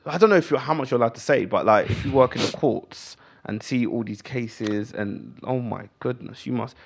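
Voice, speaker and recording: A British man, a comedian guest, chatting casually and telling anecdotes in animated, slangy speech. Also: medium pitch, normal speed, creaky, noisy background.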